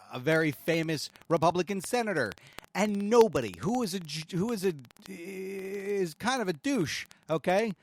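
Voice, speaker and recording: A middle-aged man hosting a show, giving halting, sarcastic, exasperated commentary on played clips. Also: a little high, slight background noise. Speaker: podcast host, commentator.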